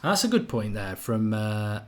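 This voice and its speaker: A man's voice: fairly low pitch, sing-song, unhurried, fairly bright tone. A man with a slight Irish accent hosting a live football watchalong, chatting and thinking aloud while he reads out viewer comments. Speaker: host of a live match watchalong.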